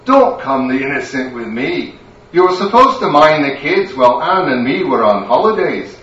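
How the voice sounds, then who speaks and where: A man's voice: on the high side, sing-song, moderate pace, a bit bright, echoey room, far from the mic, dull audio, slight background noise, pitched above the performer's own voice. Man doing a fierce Scottish grandmother. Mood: scolding. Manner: scolding, bossy, blustering. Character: fierce scolding Scottish grandmother, wine god.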